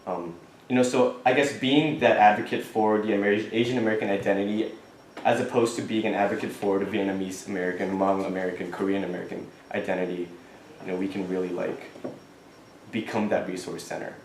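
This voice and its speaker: Un jeune homme venu de Thaïlande répond aux questions d'une élection étudiante. Sa voix est assez grave et il parle avec sérieux, en hésitant et en reprenant ses phrases. La salle de classe résonne nettement et un léger bruit de fond se fait entendre. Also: male voice, on the low side, steady tone, a little hiss or hum. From Thailand. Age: young adult. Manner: earnest, halting, many restarts and fillers. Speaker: student board candidate. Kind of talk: answering questions at an election forum. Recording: echoey classroom.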